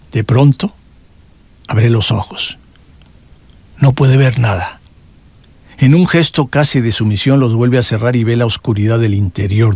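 A male radio host reads literary prose aloud in a measured manner, easing his pace on the more lyrical lines. His pitch sits mid-range for a man. The recording is dry and close, with no room sound. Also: a little mellow, dry recording.

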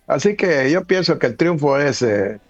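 Radio host, dull dry studio audio. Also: male voice, on the high side, animated, normal speed, no echo, soft background music. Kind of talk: sports radio commentary.

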